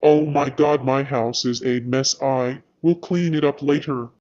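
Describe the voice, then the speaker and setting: A man's voice: medium pitch, steady tone, a little slow, darkish tone, lo-fi sound. A young man acting out an amateur scripted skit as himself, recorded at home. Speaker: storyteller voicing himself in a homemade skit.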